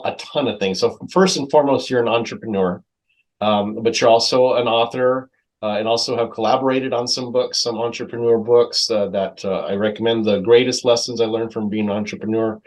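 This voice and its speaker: A man's voice: on the low side, steady tone, darkish tone, poor audio. Male podcast host, casual, upbeat, stumbles through intros. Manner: halting, many fillers and restarts, enthusiastic. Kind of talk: casual interview hosting.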